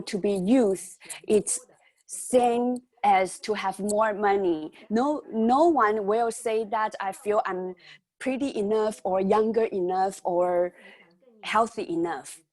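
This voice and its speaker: A woman's voice: medium pitch, darkish tone, dry recording. Chatty woman in her fifties. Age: 50s. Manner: chatty storytelling, non-native phrasing. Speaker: guest speaker. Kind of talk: online training talk.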